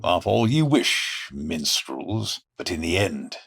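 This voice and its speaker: A wicked, boastful sheriff from a medieval radio-style drama. The voice is rough and husky, taking its time over archaic, theatrical lines. The pitch swings widely as pomposity turns to temper. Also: male voice, very animated, slow, fairly bright tone, faint music behind, exaggerated voice. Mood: gloating menace. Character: villainous, boastful medieval English sheriff, easily angered. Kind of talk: audio drama acting in archaic English.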